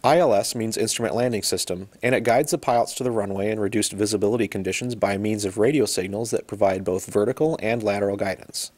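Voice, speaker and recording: A man reading scripted educational narration about procedures in a measured, explanatory manner. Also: fairly low pitch, darkish tone, dry recording. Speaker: narrator of an aviation training video.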